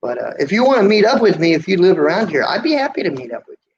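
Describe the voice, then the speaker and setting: A middle-aged man from the United States with a squeaky voice, hosting a casual livestream from a motel room, rambling in a folksy way and answering viewer comments. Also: very animated, fast talker, creaky. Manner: rambling, folksy, with many fillers and restarts. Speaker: trucker livestream host. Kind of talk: casual live chat reading viewer comments. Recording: lo-fi livestream audio, faint noise.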